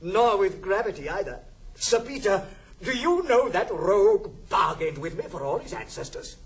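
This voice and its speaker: An actor playing a blunt, sardonic old uncle in a 1960s recording of a classical stage comedy, with a British accent and declamatory delivery. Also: male voice, sits high, big pitch swings, normal speed. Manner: declamatory, theatrical. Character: blunt wealthy old uncle, male, sardonic yet generous. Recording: 1960s studio record, faint hiss.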